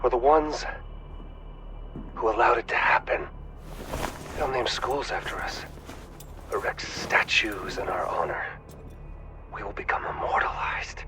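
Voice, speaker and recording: A man's voice: mid-range voice, animated, measured pace, long pauses. An actor playing a desperate, persuasive male zealot with theatrical intensity in a dramatic video game. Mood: hushed, grandiose. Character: desperate male zealot justifying a grim mission. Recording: clear background noise.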